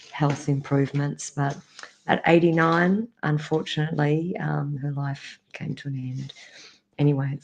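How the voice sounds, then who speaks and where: An Australian woman opens a live stream in a hoarse, croaky voice worn down by a cold. Her pitch stays flat and level, and she speaks softly, pausing on ums. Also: slight background noise, poor audio. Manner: soft, halting, with many ums. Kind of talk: casual live stream opening.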